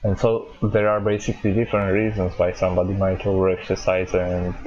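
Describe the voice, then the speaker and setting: A man hosting a podcast interview, speaking haltingly and often restarting words as he searches for phrasing. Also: low voice, monotone, a little mellow, dull audio. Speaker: podcast host.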